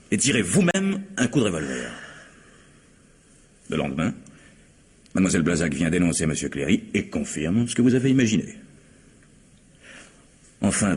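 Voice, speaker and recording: A man acting as a composed, methodical police commissioner in a French radio mystery, speaking formally and deliberately. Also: low-pitched, darkish tone, husky, full character voice.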